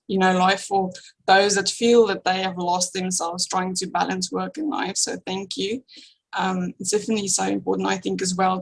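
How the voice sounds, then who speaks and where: A woman with a strong South African accent talks as a podcast guest, upbeat and warm, laughing between thoughts. Her voice carries a noticeable gravelly fry. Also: medium pitch, poor audio. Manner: warm, enthusiastic, laughs often. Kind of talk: casual interview chat.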